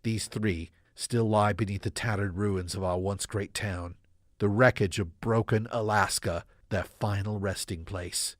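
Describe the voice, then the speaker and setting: A man playing a solemn, hopeful townsman reading out a heartfelt letter in a radio drama, measured and eloquent. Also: fairly low pitch, fairly even intonation, no echo, studio quality, natural voice. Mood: mournful.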